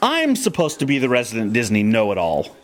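A man playing an indignant, self-important park know-it-all in a podcast audio drama, excitable and exaggerated. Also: medium pitch, brisk.

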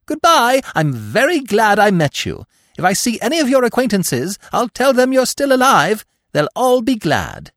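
A man voicing an excitable, gossipy little male squirrel with a shrill, eager voice. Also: normal speed, no echo, studio quality. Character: excitable chattering male squirrel, small and nosy.

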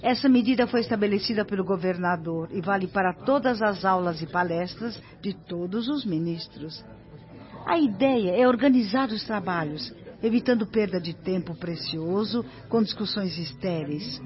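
A woman's voice: medium pitch, moderate pace, natural voice. Lady acting a patient brazilian guide woman explaining stuff in a radio play, kinda muffled. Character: Narcisa, patient, helpful female spirit guide. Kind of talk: scripted radio drama dialogue. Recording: dull lo-fi radio recording.

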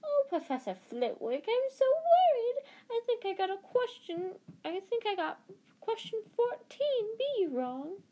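A shrill mocking schoolgirl squeal. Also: sing-song, unhurried, dark tone, heavily acted. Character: excited teenage girl squealing in mockery. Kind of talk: reading a children's novel aloud.

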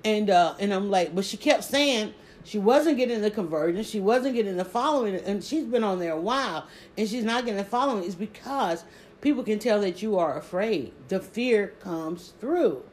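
A woman with a Southern accent chatting casually on a live stream, talking quickly and restarting her sentences often. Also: medium pitch. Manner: rapid, stumbling restarts, animated emphasis. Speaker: social media creator.

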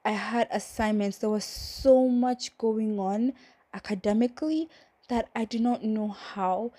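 A young woman in her twenties with a cold, talking casually on a faith podcast, rambling and apologetic and often sinking into a breathy near-whisper. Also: a little high, a little slow, dark tone, no echo. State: has a cold. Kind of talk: casual testimony podcast talk.

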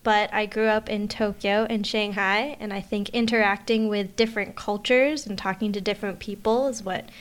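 A woman's voice: mid-range voice, steady tone, normal speed, hardly any pauses. A young woman co-hosting a podcast, chatting in a friendly, casual way. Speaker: podcast co-host.

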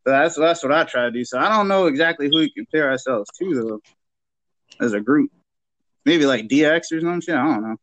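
A man's voice: on the high side, expressive, moderate pace, fairly bright tone, muffled recording. A man in his thirties chatting casually as a wrestler guest on a podcast, with slangy, sweary informal talk. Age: 30s.

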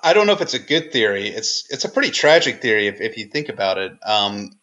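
Bright-voiced man, slightly lo-fi audio. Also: lowish voice, lively intonation, fast. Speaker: guest co-host on a book podcast. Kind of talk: conversational literary analysis.

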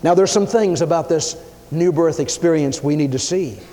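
A man preaching a sermon, emphatic, swinging his pitch and loudness as he acts out the people he quotes. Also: normal speed. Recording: long hall reverb.

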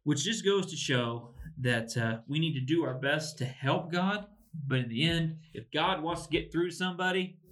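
A man's voice: a little high, moderate pace, a bit bright. A man casually discussing a Bible story, laughing often and restarting his sentences as he goes. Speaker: podcast co-host.

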